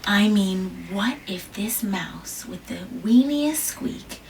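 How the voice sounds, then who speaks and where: Mom reading a kids' book aloud at home, slow and gentle, stopping for questions; mic sits far off with faint background noise. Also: female voice, expressive, vocal fry. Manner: gentle, questioning the child, jumps to high squeaky pitch on small words. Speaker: mother reading aloud to her child. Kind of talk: children's picture-book read-aloud.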